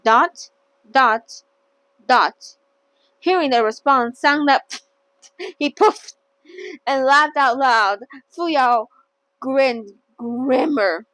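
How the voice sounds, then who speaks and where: A woman with a high-pitched voice reads a story aloud in a casual, playful way. She trips over words, corrects herself and drops in chatty asides. The sound is dry, with very little room to it. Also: extremely slow, long pauses, a bit bright, no room sound, low-quality recording. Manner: playful, stumbles and self-corrects when reading. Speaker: host reading a novel aloud.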